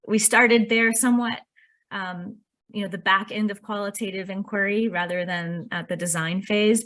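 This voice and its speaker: A woman presenting on a video call, talking in a measured, earnest way with frequent "um" fillers. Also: fairly low pitch. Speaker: workshop presenter. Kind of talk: webinar presentation. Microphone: computer mic on a video call.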